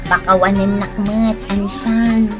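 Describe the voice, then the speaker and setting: A woman playing a hospitable, humble village housewife in a radio drama, speaking in a homely, expressive way. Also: mid-range voice, takes it slowly, over music, close to an everyday voice. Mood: apologetic.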